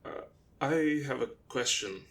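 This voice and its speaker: A man's voice: medium pitch, expressive, measured pace, fairly bright tone. An actor playing a hesitant, skeptical male student questioning his professor in an audio drama, tentative and careful. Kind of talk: audio drama classroom dialogue.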